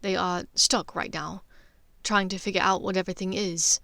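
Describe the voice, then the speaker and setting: A woman's voice: lowish voice, steady tone, moderate pace, creaky, bright, forceful tone, no room sound. Woman reading as an earnest, stubborn young wizard. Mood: uncertain.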